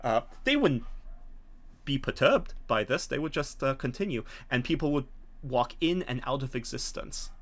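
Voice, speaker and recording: Man narrating a tabletop game, halting delivery. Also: medium pitch, very animated. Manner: hesitant, halting explanation. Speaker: game master. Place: small home room, video call.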